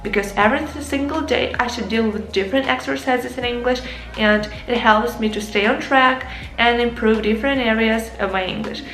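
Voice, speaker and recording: A woman's voice: fairly high pitch, moderate pace, a bit bright. Young Ukrainian-native woman teaching English to camera, earnest, in a roomy echo. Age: young adult. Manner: earnest, addresses viewers as guys. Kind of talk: casual advice lesson to camera. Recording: echoey room with faint music.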